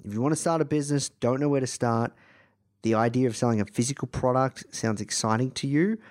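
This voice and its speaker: An Australian man with a slightly croaky voice, introducing a podcast episode in a casual, enthusiastic, informal way. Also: mid-range voice, fairly quick, darkish tone. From Melbourne, Australia. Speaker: podcast host.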